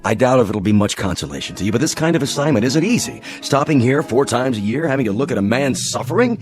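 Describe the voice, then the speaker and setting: An actor in a radio drama playing a grave, sympathetic spaceship captain who is guarded and dutiful. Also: male voice, mid-range voice, animated, fast, close to an everyday voice. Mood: somber, sympathetic. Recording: music behind.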